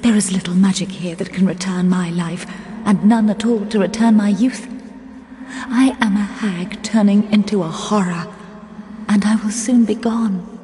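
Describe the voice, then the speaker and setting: An actress playing the sorrowful ghost of a dead elf lover, solemn and mournful, in an audio drama. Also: female voice, medium pitch, steady tone, a little slow, dark tone, lightly acted. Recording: long reverb, faint ambient noise.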